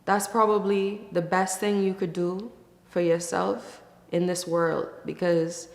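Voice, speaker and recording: A woman from the Bahamas giving casual, reflective interview answers in a thoughtful, candid way, with pauses as she thinks. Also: fairly low pitch, level tone, creaky. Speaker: interview guest, musician. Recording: echoey, reverberant room.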